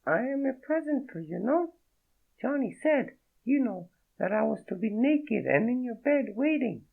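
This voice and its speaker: A man's voice: very high-pitched, big pitch swings, measured pace, vocal fry, voice pushed up, full character voice. A man voicing a drunk, coy young woman with a French accent who slurs her words. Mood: tipsy, coy. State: drunk, slurring. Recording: tinny phone-like sound.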